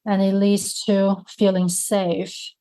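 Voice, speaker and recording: Slow-spoken woman with a Mandarin accent. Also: medium pitch, little pitch movement, dark tone. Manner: slow, thoughtful, searching for words. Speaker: guest. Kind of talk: reflective interview conversation.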